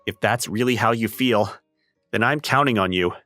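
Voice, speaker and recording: A man playing a flustered, introverted high school boy and aspiring writer in an anime-style comic dub, animated and prone to exasperated lecturing. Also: normal speed, dry recording, soft background music. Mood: hesitant, surprised.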